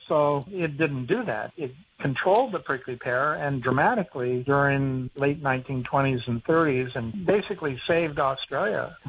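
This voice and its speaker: An American man speaks as an expert guest in a radio interview, explaining things in a conversational way. His voice is fairly high for a man and comes through a slightly muffled phone line. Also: husky. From United States. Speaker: author and conservation biologist, interview guest. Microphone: telephone.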